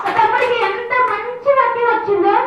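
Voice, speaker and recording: Bossy, pious girl puppet, extremely high sing-song voice, children's show, heavy echo. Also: fairly even intonation, brisk. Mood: proud. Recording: echoey hall, distant mic, dull noisy sound.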